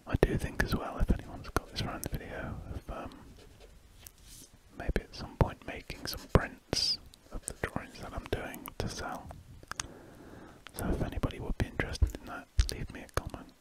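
A man with an English accent whispering breathily in an unhurried ASMR ramble, murmuring his thoughts as he draws. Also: low-quality recording. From England. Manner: unhurried, murmured thinking aloud. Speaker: ASMR drawing host. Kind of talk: ASMR rambling while drawing. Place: indoors at a lamp-lit desk.

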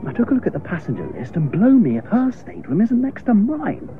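An actor playing a breezy, chatty young upper-class English idler in a radio comedy, full of old-fashioned slangy exclamations. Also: male voice, high-pitched, sing-song, quick pace, a crowd in the background. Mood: flustered, confiding. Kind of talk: radio comedy drama acting. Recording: muffled, noisy radio play recording.

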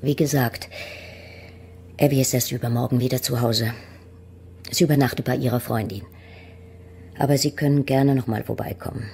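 An actress playing a prim, regretful middle-aged mother in a radio drama, measured and rueful, with a husky, creaky voice. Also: a bass voice, steady tone, mellow, slight background noise. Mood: polite, guarded. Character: regretful, proper older mother of a married daughter. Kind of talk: German radio drama acting.

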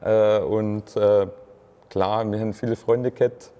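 A man's voice: on the low side, fairly even intonation, unhurried, warm tone. A man with a Swabian accent, a rapper chatting casually as an interview guest in a large museum hall on a lapel mic. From Swabia, Germany.

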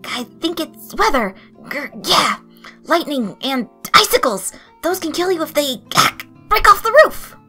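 A woman voicing a jittery, teasing, earnest boy in a hesitant, excitable way for a story reading. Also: sits high, a little slow, pitched above the performer's own voice, exaggerated voice. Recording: soft music behind the voice.

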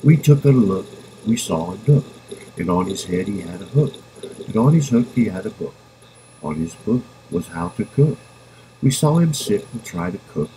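A man reading a children's rhyming book aloud in a playful, sing-song manner with drawn-out words. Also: lowish voice, big pitch swings, dark tone, rough recording. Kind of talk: expressive storybook read-aloud in rhyme. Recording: home recording with background noise.

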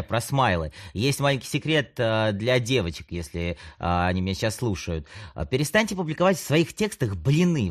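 A man talks straight to the listener like a coach hosting a podcast. His mid-pitched voice moves up and down in a lively way. He is chatty and playful, slipping in ironic asides as he explains. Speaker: podcast host, communication coach and psychologist. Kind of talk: conversational audiobook monologue addressing the listener.

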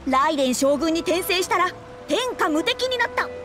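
A woman's voice: very high-pitched, very animated, a little fast. A woman voicing a dutiful, businesslike publishing employee in a Japanese anime game, giving formal reports.

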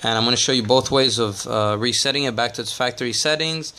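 A man's voice: mid-range voice, quick pace, bright, forceful tone. A man hosting a casual tech tutorial, talking plainly step by step with frequent "uh" and "all right" fillers. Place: indoors at a table, off camera.

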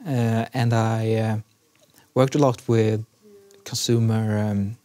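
A man's voice: fairly low pitch, little pitch movement, slow. A young man from Sweden with a Swedish accent, speaking unscripted as a business student in an interview. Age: young adult. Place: busy classroom studio, indoors. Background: soft background music.

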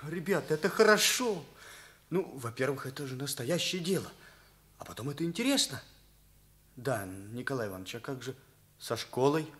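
An actor playing an earnest, thoughtful teenage schoolboy in a Soviet radio play. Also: male voice, mid-range voice, animated, normal speed, long pauses, a bit bright, natural voice.

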